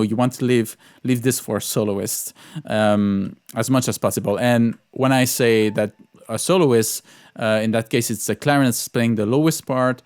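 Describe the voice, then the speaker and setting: A man's voice: mid-range voice, a little mellow, clear, resonant tone, no room sound, clean studio sound. A man talking through an orchestration lesson as a composer, informally and hesitantly, thinking aloud as he goes. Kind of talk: informal tutorial walkthrough.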